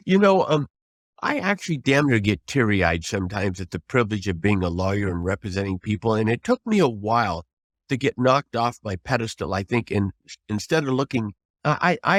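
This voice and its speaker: A man's voice: medium pitch, no echo, studio quality. An older man, a trial lawyer, giving advice as an interview guest in an earnest, emphatic, folksy way with rhetorical questions.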